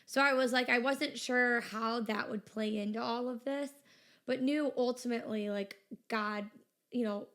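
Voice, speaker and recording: A young woman talks openly as a podcast guest, her voice pitched a little high. A gravelly fry runs under her words, and she keeps filling them with "like" and "you know". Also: fairly even intonation, moderate pace, fairly bright tone. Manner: chatty, lots of "like" and "you know". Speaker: business owner, guest. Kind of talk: casual interview chat.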